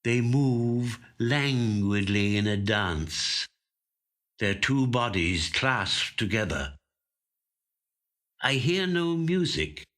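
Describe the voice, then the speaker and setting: Male narrator, low pitch, highly varied intonation, deliberate literary reading, dramatic phrasing, studio recording. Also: fairly bright tone. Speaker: professional actor reading a short story. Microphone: studio microphone.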